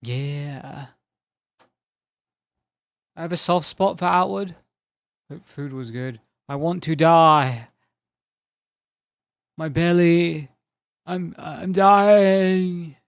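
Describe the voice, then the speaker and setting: A man with a fairly high voice drawls through a casual game stream, rambling and chatting with viewers by name. His voice sounds slightly worn, as if he is starting to lose it. The sound is dry and close, with faint music playing underneath. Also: extremely slow, long pauses, no room sound. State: slightly strained, losing his voice. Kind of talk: casual live-stream chat while gaming.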